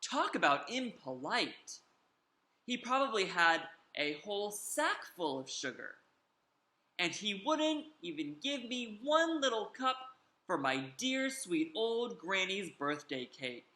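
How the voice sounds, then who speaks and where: A storyteller reading a picture book aloud to children in a theatrical, animated way with drawn-out emphasis, in a roomy home recording. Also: mid-range voice. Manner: theatrical, drawn-out emphasis, invites listeners to join in.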